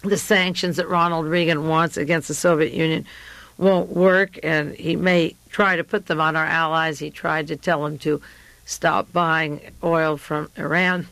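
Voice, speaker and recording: A woman hosting a radio show, delivering a rambling political monologue with frequent pauses. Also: sits low, fairly even intonation, a bit bright, dry recording, low-quality recording.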